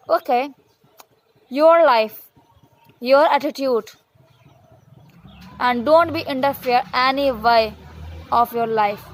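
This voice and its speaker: A woman, Hindi her native tongue, talks in a high, unhurried voice. Her English is halting and full of restarts, but she keeps repeating her encouragement with earnest conviction. A rooster crows somewhere behind her. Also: poor audio. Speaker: vlogger. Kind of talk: motivational monologue, practicing English.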